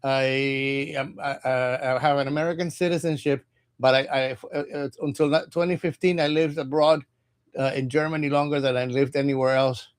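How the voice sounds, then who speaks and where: A man from Cuba, reading tarot cards in a halting, casual livestream chat and often repeating his words. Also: mid-range voice, steady tone, clean tone. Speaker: tarot reader.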